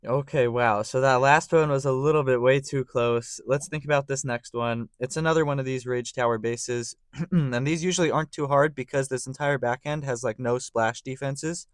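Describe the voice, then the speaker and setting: A slightly hoarse young man giving live commentary on a strategy game, talking through his thinking and getting excited on big plays. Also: medium pitch, normal speed, no room sound, clean studio sound. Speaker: gaming channel host.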